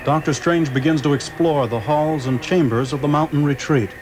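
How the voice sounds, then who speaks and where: A man's voice: fairly high pitch, moderate pace, a little mellow, slight background noise, poor audio. A man narrating a 1960s radio drama in a dramatic, scripted style. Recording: old radio drama, music behind.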